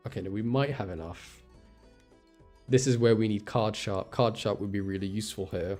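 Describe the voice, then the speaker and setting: Man, very soft dark timbre, fairly deep, casual thinking-aloud game commentary, quiet music behind. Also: fairly even intonation, moderate pace. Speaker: game streamer.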